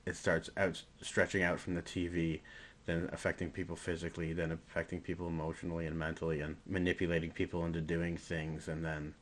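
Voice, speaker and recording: Deep-voiced man chatting enthusiastically. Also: monotone, brisk. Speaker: podcast co-host. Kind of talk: casual film discussion.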